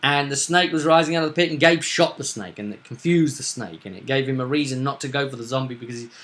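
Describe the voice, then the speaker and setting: A man co-hosting as game master, casually recapping a tabletop session with drawn-out, dramatic stresses. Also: mid-range voice, fairly bright tone.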